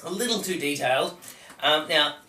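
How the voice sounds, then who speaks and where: A bright, higher-pitched man rambles through a joking chat, tripping over his words and laughing at himself. He sounds a little distant from the mic, in an echoey room with faint noise behind him. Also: lively intonation, moderate pace. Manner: rambling, self-deprecating, stumbles over words. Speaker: co-host. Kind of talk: casual comedic chat.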